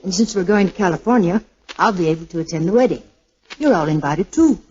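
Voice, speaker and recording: An actress playing a poised, pleasant and gently direct young woman in 1940s radio drama style. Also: on the low side, animated, quick pace, breathy edge. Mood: poised, amused. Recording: muffled 1940s radio broadcast, faint music.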